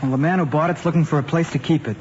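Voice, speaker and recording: A man's voice: a little high, steady tone, very fast, a little mellow, lightly acted. An actor playing a defensive, evasive young male suspect who insists on his story, in old radio drama style. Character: defensive male youth suspected of stealing a car. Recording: dull, noisy old radio recording.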